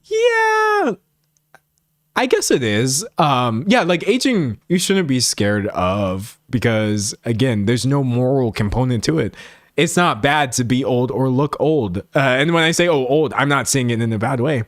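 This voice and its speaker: Late 20s guy streamer just rambling to chat, animated, pokes fun at himself, medium pitch, dry close sound. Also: a little slow, no echo. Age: late twenties. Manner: animated, self-mocking, acts out imagined remarks. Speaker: livestream host. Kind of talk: casual chat, rambling monologue to viewers.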